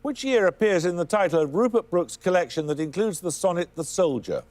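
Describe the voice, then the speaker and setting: A man hosting a televised quiz in a crisp, dry, formal manner, reading questions. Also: high voice, animated, a little fast, a little mellow. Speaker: quiz show host.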